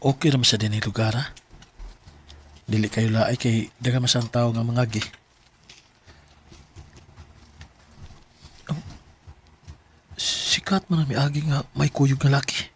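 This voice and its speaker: A man's voice: mid-range voice, steady tone, moderate pace, long pauses, slight background noise, natural voice. A man with a Filipino Bisaya accent acting a lovesick, jealous young security guard in a radio drama, questioning insistently and emotionally. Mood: polite, uneasy.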